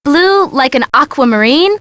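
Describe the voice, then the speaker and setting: The bright, swooping voice of a girlish, excitable best friend chiming in during a mystery video game. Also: high voice, measured pace, dry recording. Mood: chirpy. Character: bubbly, girlish young woman, excitable sidekick friend. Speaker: game voice actor. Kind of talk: scripted game dialogue.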